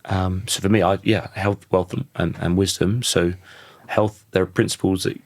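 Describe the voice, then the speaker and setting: Croaky deep-voiced man, reflective guest. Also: fairly even intonation, moderate pace, no echo. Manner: thoughtful, restarts sentences often. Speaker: podcast guest. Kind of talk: reflective conversational interview.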